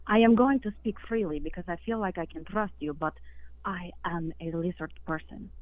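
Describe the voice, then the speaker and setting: A woman's voice: lowish voice, normal speed, dry recording. An earnest, easily offended lizard woman with a put-on Russian accent and broken English calls in, voiced by a woman. Her voice comes through a thin, narrow phone line. The sound is dry, with no room around it. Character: lizard woman posing as Russian caller, indignant. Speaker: caller.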